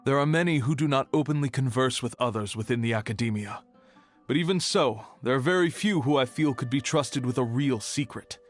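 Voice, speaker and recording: A man voicing a cautious, reserved keeper of a restricted archive, speaking in a measured way in a game cutscene. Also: mid-range voice, faint music behind, close to an everyday voice.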